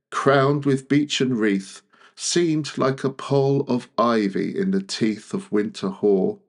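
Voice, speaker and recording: A man reading classic verse aloud in a measured, deliberate way, pausing often between phrases. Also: mid-range voice. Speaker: poetry reader. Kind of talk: literary poetry recitation.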